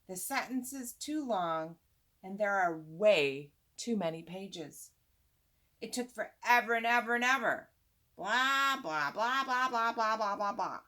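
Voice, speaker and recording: Storybook boy, reluctant reader, played by a woman: candid, exasperated, big pitch swings, exaggerated drawn-out repeats. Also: fairly bright tone. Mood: earnest. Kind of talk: storybook character narration.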